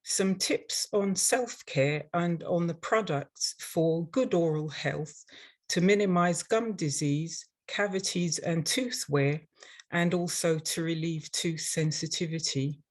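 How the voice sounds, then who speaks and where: An older woman with a London English accent presents a prepared webinar. Her voice sits a little low for a woman. She speaks in a measured, instructional manner, like an experienced instructor addressing learners. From London, England. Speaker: dental hygienist and instructor presenting.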